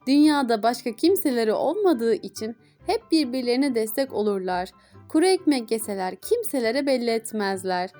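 A woman narrates fairy tales for children. She reads from a script as an expressive storyteller. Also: on the high side.

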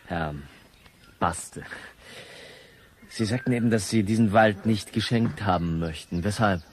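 Man playing a curious reporter, polite, low voice, asks away, bit of outdoor noise. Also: measured pace, lightly acted. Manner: probing, conversational. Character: inquisitive, polite male newspaper reporter.

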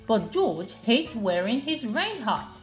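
A woman's voice: a little high, sing-song, takes it slowly. A mother reading a picture book aloud to her young child, asking questions and encouraging her. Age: adult, mother of a young child. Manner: encouraging, repeats the child's answers. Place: home kitchen, indoors. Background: faint children's music.

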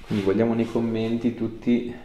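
A man's voice: fairly low pitch, level tone, normal speed, dark tone, a little hiss or hum. A man in his thirties, an osteopath chatting casually with a patient and giving short instructions. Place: small indoor clinic treatment room. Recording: echoey small treatment room.

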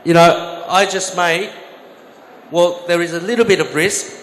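A man's voice: high voice, a little slow, a little hiss or hum. A middle-aged man giving a live seminar talk through a handheld mic, explaining trades with examples and correcting himself as he goes. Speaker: conference speaker, former bank trader. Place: large expo hall stage. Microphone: handheld microphone. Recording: echoey hall with faint ambience.

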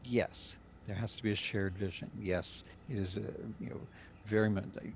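An older man, a director, speaking emphatically and deliberately in a panel interview over a noisy video call. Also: on the low side, steady tone. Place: indoor room, on a video call.

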